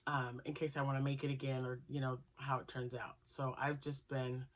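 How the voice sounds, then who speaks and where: A woman's voice: a bass voice, flat delivery, normal speed. A woman hosting her crochet channel, chatting casually and rambling with asides, still nasal from a cold. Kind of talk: casual chat to camera.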